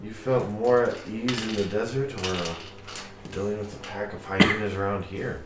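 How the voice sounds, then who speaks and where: A man playing a tabletop game who talks hesitantly, with many pauses and restarts. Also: lowish voice, steady tone. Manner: hesitant, full of uhs and restarts. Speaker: player. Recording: echoey room, far from the mic, noisy.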